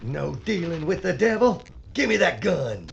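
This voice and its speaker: A ruthless outlaw boss, half blind, voiced by a man with a gravelly, fry-laden voice. He drags out his words slowly while his pitch swings widely between a low growl and sharp peaks. The audio drama recording carries a clear hiss of noise. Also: very animated, lo-fi sound, exaggerated voice. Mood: raging.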